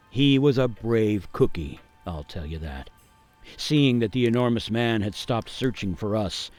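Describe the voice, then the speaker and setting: A man playing a grave gingerbread man, narrating a radio play as a haunted survivor with theatrical suspense and weighty pauses. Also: mid-range voice, darkish tone, lightly acted. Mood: urgent, alarmed. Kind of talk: dramatic first-person storytelling for a radio play.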